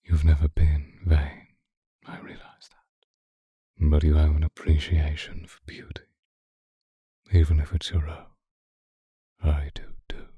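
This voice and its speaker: A man playing an obsessive, seductive vampire in an intimate, hushed ASMR roleplay, speaking deliberately and often whispering close to the microphone. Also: monotone, no room sound, highs cut off, full character voice. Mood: seductive, menacing. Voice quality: very deep, breathy, husky, often whispering. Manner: slow, deliberate, hushed, formal wording. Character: seductive male vampire stalker, obsessive and predatory. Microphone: close microphone, dry sound.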